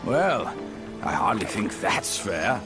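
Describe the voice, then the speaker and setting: Game companion creature, cheeky and irreverent, big melodic swings, unhurried delivery, playful. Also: male voice, fairly low pitch, over music. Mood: defensive, playful. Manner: chatty, playful, expressive. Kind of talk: video game cutscene acting.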